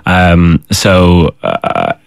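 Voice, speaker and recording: A man's voice: low-pitched, very animated, extremely slow, creaky, no room sound. A young man from Ireland, a chef, talking in a friendly, reflective way with many hesitations as a radio studio guest. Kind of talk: radio interview.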